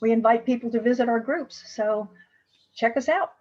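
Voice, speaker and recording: A woman with a somewhat high voice gives a friendly, hesitant pitch. The video call audio sounds muffled and lo-fi. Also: normal speed, a little mellow. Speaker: business support group leader. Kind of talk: short networking pitch.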